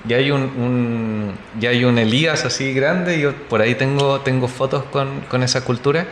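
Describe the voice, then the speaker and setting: A man's voice: mid-range voice, steady tone, normal speed. A man with a Chilean accent, casually telling stories as a podcast guest, with frequent restarts. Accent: Chilean Spanish. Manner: storytelling, many restarts and fillers. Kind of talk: casual chat. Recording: echoey room with faint noise.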